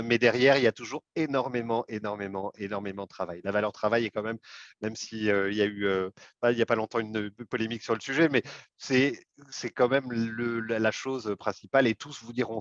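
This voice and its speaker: Halting man on a dry, dull webinar line. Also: lowish voice, no room sound. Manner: halting, many fillers and restarts. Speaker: webinar guest speaker. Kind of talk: hesitant spontaneous talk.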